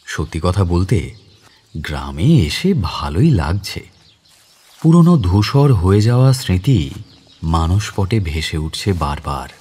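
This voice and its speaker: A man's voice: low-pitched, very animated, takes it slowly, long pauses, a little mellow, husky, full character voice. An actor playing a kind, reflective man visiting his home village, narrating the dramatised story himself. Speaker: narrator and lead character.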